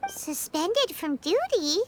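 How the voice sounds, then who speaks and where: A woman voicing a small, childlike, chirpy fairy companion in a video game, blunt and expressive. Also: extremely high pitch, takes it slowly, slight background noise, full character voice. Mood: curious. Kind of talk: scripted video game dialogue.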